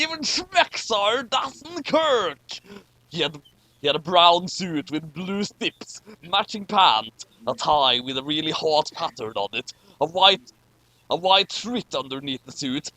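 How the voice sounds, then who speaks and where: A man's voice: on the high side, bright, forceful tone. A man reading fan fiction aloud and chatting about it over an online voice call. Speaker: guest reader of fan fiction.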